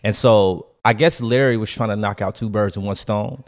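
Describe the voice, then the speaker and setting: A man from co-hosting a podcast, telling stories in a casual, slangy and animated way. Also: on the low side, moderate pace. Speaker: podcast co-host and storyteller. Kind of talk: casual conversational storytelling.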